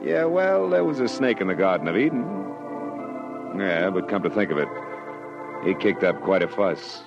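A hard-boiled American private eye in a 1940s radio drama. His voice sits low and he talks in wry, clipped phrases. The vintage recording is dull, with prominent musical underscore. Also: sing-song, normal speed, vocal fry, music in the background, full character voice. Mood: musing, wry. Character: hard-boiled male private detective, wry, worldly and unflappable. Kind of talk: 1940s radio acting, first-person detective narration.

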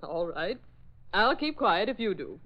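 Lively, teasing wisecracking woman. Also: mid-range voice, unhurried, a little hiss or hum. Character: teasing, wisecracking young female office colleague. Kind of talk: 1940s comic radio acting. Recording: muffled vintage radio drama recording.

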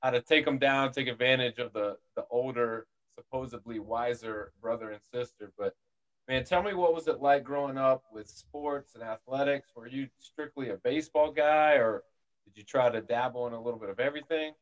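A man's voice: mid-range voice, normal speed, highs cut off. A man hosting an interview, asking questions in an upbeat, chummy way with frequent fillers. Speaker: interview host. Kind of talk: casual interview.

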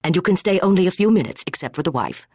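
A man voicing a female nurse who speaks in a hushed whisper. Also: high voice, lively intonation, fast, slightly rough, no echo, clean studio sound, pitched above the performer's own voice, full character voice. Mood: urgent. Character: hurried female hospital nurse.

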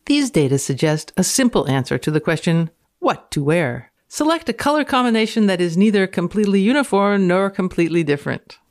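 A woman's voice: on the low side, animated, brisk, few pauses. A woman speaking as a guest on a science podcast, moving between casual chat and reading aloud from a paper.

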